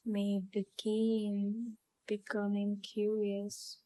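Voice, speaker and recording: A woman leading a guided visualisation in a calm, soothing, hypnotic storytelling manner, leaving long pauses between phrases. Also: mid-range voice, no echo, low-quality recording. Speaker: hypnotherapy channel host and guide.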